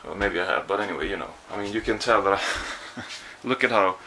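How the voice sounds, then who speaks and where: Young man, swedish accent, bright voice, lowish pitch, rambling tired vlog, faint room hum. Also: a little fast, rough recording. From Sweden. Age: young adult. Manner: rambling, self-deprecating, sleepy. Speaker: vlogger. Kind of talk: casual vlog. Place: small apartment indoors. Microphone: handheld camera at arm's length.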